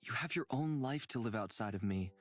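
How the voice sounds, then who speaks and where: An actor playing a gentle, reflective man weighed down by guilt, speaking in a measured, earnest way for a visual novel game. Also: medium pitch, animated, dry recording, close to an everyday voice. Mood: tender.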